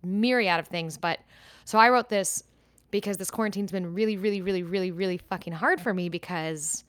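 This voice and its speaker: Woman in her 30s, podcast co-host, candid and halting, mid-pitched, close dry mic. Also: a bit bright, no echo. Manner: candid, talks in starts and restarts. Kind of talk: casual chat and reading a letter aloud.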